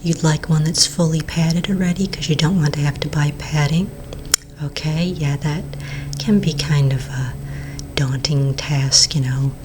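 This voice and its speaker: A woman voicing a courteous vampire real estate agent in a soft-spoken, mostly whispered ASMR roleplay, polite, unhurried and attentive. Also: sits low, fairly even intonation, noisy background. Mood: soothing, helpful.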